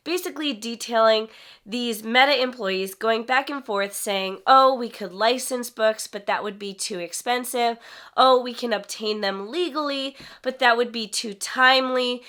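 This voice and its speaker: A woman author hosting her own video channel, talking straight to viewers in an animated, indignant way while congested from a cold. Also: on the high side. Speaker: author and YouTube host. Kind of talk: direct-to-camera vlog commentary.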